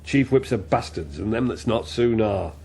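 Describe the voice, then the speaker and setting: An actor playing a blunt, scornful politician with a Northern English accent in a British radio drama, talking in a gruff, colloquial way. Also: male voice, fairly low pitch, animated, moderate pace, a little mellow, heavily acted.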